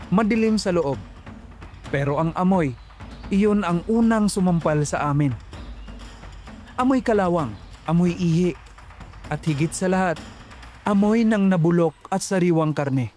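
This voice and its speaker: High-voiced man telling a horror tale. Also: a little slow, pauses often, a little mellow, faint music behind. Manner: tense, suspenseful. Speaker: narrator. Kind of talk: dramatic horror storytelling.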